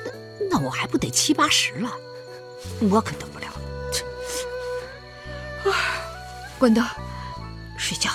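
An actress playing a middle-aged farmer's wife in a radio drama, sharp-tongued and teasing, brisk and folksy. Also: female voice, sits high, wildly swooping pitch, music in the background, full character voice. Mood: teasing, dismissive.

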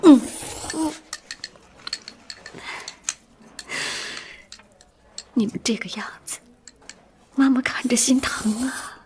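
Tender, tearful mother, higher-pitched voice. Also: unhurried, slight background noise. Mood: tender, sad. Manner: gentle, coaxing, breathy sighs. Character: poor, tender, self-denying mother close to tears. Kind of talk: Chinese radio drama acting.

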